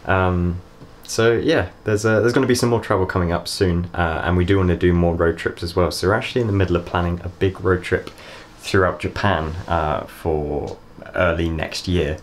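Young British male travel vlogger, casual and chatty, lower voice, noisy handheld camera sound. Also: steady tone, normal speed, hardly any pauses. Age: young adult. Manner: chatty, laughs often, swears when caught in the rain. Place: outdoors in windy hills, in a car, in a rented room. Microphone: handheld camera at arm's length.

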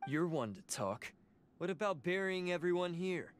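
A young double agent from a video game, cool and sardonic. The voice is a bit high, with lively movement in its pitch. He stays controlled and defiant. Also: moderate pace, slight background noise. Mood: coolly sardonic.

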